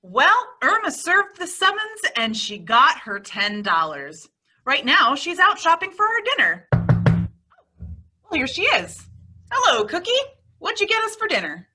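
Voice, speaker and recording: Actress as a wry roommate telling the story, bright high voice, old radio comedy. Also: female voice, huge pitch swings, measured pace, soft background music, heavily acted. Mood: wry, friendly. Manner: wry, addresses the audience. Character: wry, long-suffering young female roommate and storyteller. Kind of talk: old-time radio comedy narration and dialogue.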